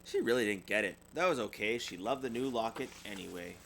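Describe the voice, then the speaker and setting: A man's voice: fairly low pitch, animated, brisk, gravelly. A man reads a children's storybook aloud like a parent at home. His delivery swings animatedly up and down, with small stumbles. A faint noise and hum sit behind him. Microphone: USB desktop microphone.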